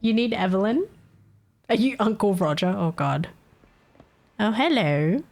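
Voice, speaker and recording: Young woman with a Filipino accent, casual streamer who teases chat and laughs a lot, animated voice over faint background music. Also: medium pitch, measured pace. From Philippines. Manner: laughs often, teasing and playful with chat.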